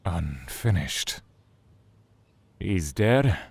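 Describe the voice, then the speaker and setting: An actor playing a brooding, aloof god in an audio drama, wary yet curious, with formal, archaic phrasing. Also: male voice, deep voice, sing-song, extremely slow, gravelly, breathy edge, no echo, heavily acted. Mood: wary, cold.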